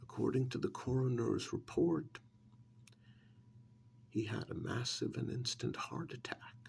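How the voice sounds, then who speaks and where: A man tells a story in a soft-spoken ASMR role-play style, halting and deliberate, often slipping into a whisper close to the microphone. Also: medium pitch, vocal fry, a little hiss or hum. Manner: hushed, often dropping into whisper; halting, deliberate phrasing with long pauses.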